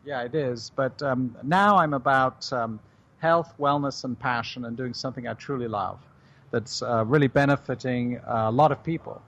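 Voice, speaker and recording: A middle-aged man with a British accent, a company chief answering interview questions on the radio in a measured way. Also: mid-range voice, fairly bright tone, dull audio. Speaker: company CEO guest.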